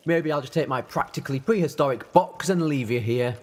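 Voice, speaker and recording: A man's voice: mid-range voice, brisk, lightly acted. A man playing an eccentric, defiant, youthful-looking time traveller in an audio drama, rambling in a playful, theatrical way. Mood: determined, quirky. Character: eccentric, whimsical, defiant time-travelling alien Doctor who looks young.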